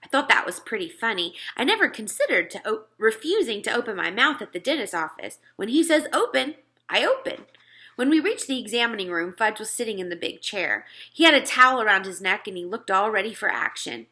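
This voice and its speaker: A woman reading a children's story aloud with expressive narration. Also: medium pitch, brisk.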